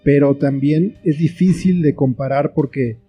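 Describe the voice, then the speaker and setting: A man's voice: fairly high pitch, unhurried, mellow, husky, faint music behind. A man with a Mexican accent giving reflective, explanatory answers as an interview guest in a conversational style. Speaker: interview guest, screenwriter and author.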